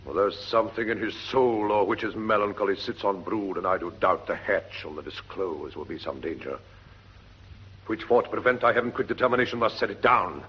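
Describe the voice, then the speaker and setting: An actor playing a shrewd, suspicious middle-aged king in 1940s British radio Shakespeare, measured and authoritative, with husky Received Pronunciation. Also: lowish voice. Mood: brooding resolve. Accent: English Received Pronunciation. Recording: dull 1940s radio recording.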